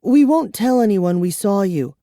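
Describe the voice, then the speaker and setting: Reader voicing a shrill, angry young girl. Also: animated, moderate pace, mellow, no room sound, clean studio sound, close to an everyday voice. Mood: protesting. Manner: high, insistent and protesting. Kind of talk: audiobook character reading.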